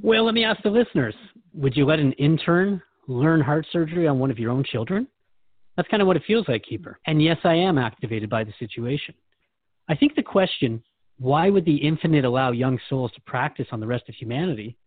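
A man's voice: on the high side, animated, a little fast, no room sound, phone-quality sound. A man hosting a conversational interview, asking questions in a wry, candid way. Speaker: host and questioner.

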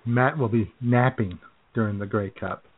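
A man's voice: mid-range voice, moderate pace, slight background noise. A man chatting casually as a talk show co-host, with a laid-back, hesitant, rambling manner. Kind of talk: casual chat and banter. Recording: thin phone-line sound.

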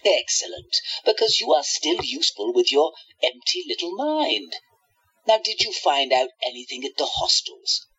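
A sadistic, playful tyrant from another world for a radio drama. The voice is husky and swoops wildly as it draws out mock-sweet taunts, with theatrical menace. The audio is slightly dull. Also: mid-range voice, sing-song, moderate pace, a bit bright, exaggerated voice. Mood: menacing, mock-sweet. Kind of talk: radio play acting.

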